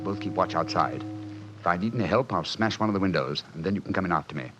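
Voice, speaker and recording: A quick, low-voiced English gentleman detective. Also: expressive, over music. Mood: wry, assured. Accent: British. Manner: clipped, commanding, wry. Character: brisk, self-assured, dryly witty English gentleman detective. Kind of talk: 1940s radio drama acting. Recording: muffled, hissy old radio broadcast.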